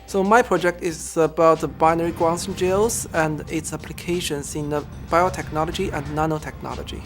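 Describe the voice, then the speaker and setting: A man from Zhejiang with a Chinese accent, a student researcher telling about himself and his work in halting, non-native phrasing. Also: on the high side, steady tone, soft background music. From Zhejiang, China. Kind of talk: interview-style personal and project account.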